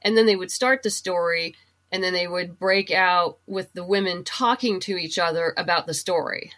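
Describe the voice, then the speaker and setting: A woman's voice: fairly low pitch, moderate pace, fairly bright tone. A woman speaking as an interview guest, explaining things conversationally and thinking aloud in a casual chat about books. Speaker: guest, comic book writer and novelist.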